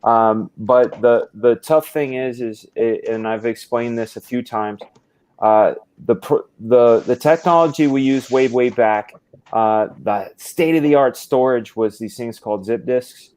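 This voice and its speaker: Rambling comic artist on a call. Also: male voice, medium pitch, measured pace, darkish tone, smooth. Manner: rambling, explains at length with restarts. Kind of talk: casual livestream chat.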